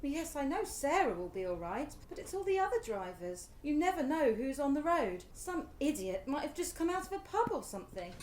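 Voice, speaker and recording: Actress as animated, high-voiced fretful English mother. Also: brisk, hardly any pauses, reverberant. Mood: anxious. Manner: animated, fussing, chiding. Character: excitable, fretful, teasing middle-aged mother and wife. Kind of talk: radio play acting.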